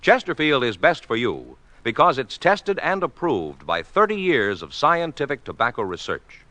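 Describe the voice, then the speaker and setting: A man's voice: medium pitch, very animated, moderate pace, sharp tone, no echo. A man with an American accent delivering emphatic, scripted announcements in 1950s radio style. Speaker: announcer and advertising pitchman. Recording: vintage 1950s radio recording.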